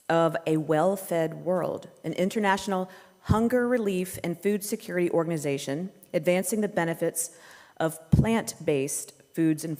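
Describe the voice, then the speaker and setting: Woman w/ lowish voice reading out a formal intro at church, measured, very echoey. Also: a little mellow. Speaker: introducer of a guest speaker. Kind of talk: read-aloud formal biography introduction. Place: church sanctuary, indoors. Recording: echoey hall with long reverb.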